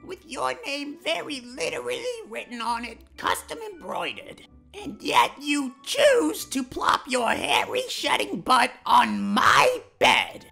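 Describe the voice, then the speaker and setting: A woman's voice: fairly high pitch, huge pitch swings, slow pace, creaky, pushed and bright, husky, soft background music, exaggerated voice. A woman voicing a know-it-all, easily exasperated hairless cat in a comic dub, pedantic and sarcastic. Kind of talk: comic dub character acting.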